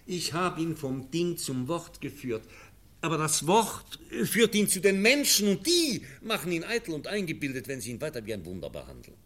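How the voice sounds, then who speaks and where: A man's voice: a little high, huge pitch swings, normal speed, fairly bright tone, heavily acted. A man playing a stern, worried professor in a radio play, emphatic and moralising in his delivery. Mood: exasperated. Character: stern, worried schoolmaster professor, exasperated guardian of a foundling.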